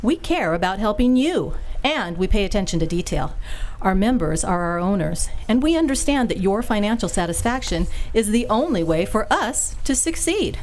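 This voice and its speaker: A woman's voice: mid-range voice, very animated, normal speed, few pauses, darkish tone, low-quality recording. A woman reading a commercial in a polished, persuasive manner for a live radio show. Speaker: ad reader in a radio play.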